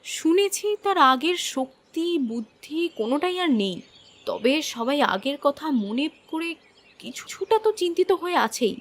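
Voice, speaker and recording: Actress as uneasy villager, high-pitched, very lively intonation, wondering, cartoon storytelling dialogue. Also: female voice, normal speed. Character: gossiping villagers, awed and uneasy about a bandit. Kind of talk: cartoon fairy-tale dialogue.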